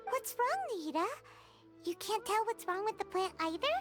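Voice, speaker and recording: Tiny chirpy game sidekick girl, super high squeaky voice, music under it. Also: animated, normal speed. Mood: worried. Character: tiny floating female companion, chirpy and excitable. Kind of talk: video game voice acting. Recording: game audio with soft music behind.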